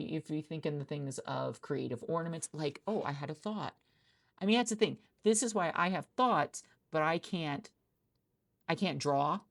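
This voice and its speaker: A woman doing a soft-spoken ASMR roleplay as a hiring manager, chatty and rambling with many restarts, recorded in a friend's office. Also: deep voice.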